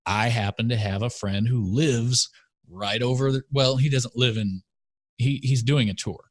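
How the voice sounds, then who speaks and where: A man's voice: mid-range voice, fairly even intonation, a little slow, no echo, studio quality. An American man hosting a podcast in casual talk, with joking, sarcastic asides. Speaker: podcast host.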